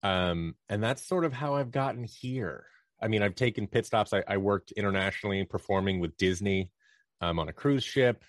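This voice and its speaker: A man with a fairly low voice, recorded close and dry. He talks casually as a guest, reasoning aloud and explaining his opinions conversationally. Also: moderate pace, dry recording. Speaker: podcast guest, audio drama producer and performer. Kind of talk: casual interview talk, explaining opinions and experience.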